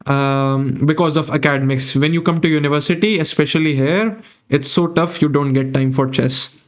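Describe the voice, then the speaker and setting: Young male chess streamer, Indian accent, slightly higher voice, musing and chatty, desk mic in a small room. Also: normal speed. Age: young adult. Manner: thinking aloud, chatting with viewers. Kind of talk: live chess commentary.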